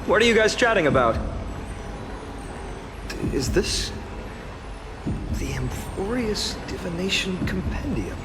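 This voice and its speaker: An actor playing a friendly, teasing young warrior man in an anime-style video game, wistful and skeptical, in a conversational, expressive way. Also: high voice, slow, pauses often, full character voice. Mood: teasing, curious.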